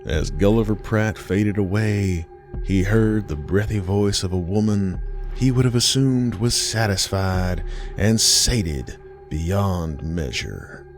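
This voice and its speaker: A deep-voiced American man tells a scripted horror story over music. His delivery is very slow and deliberate, with a folksy, ominous drawl, like a host sharing a dark tale by the fire. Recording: music bed behind the voice.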